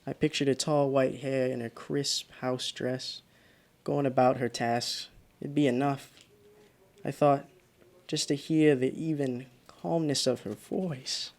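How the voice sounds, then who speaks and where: An actor playing a frightened, exhausted driver in a radio drama, halting and muttering to himself. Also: male voice, mid-range voice, fairly even intonation, a little mellow. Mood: anxious, hopeful. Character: frightened, exhausted male driver haunted by a phantom. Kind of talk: radio drama monologue and dialogue.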